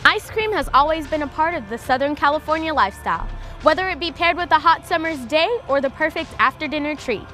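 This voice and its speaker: A woman's voice: high-pitched, animated, fast talker, sharp tone, soft background music. A woman hosting the show, reading scripted narration in a polished, upbeat way. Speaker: show host.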